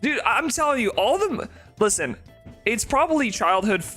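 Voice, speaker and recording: A man with a nasal voice chatting casually on a live stream, rambling and excitable, with faint music behind him. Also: very high voice, moderate pace. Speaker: shiny-hunting live streamer.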